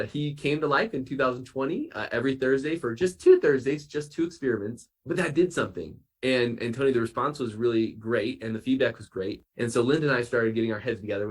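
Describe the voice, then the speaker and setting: A man speaking as an interview guest, telling conversational stories with animated quoted dialogue. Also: medium pitch. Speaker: podcast guest, children's science educator and author.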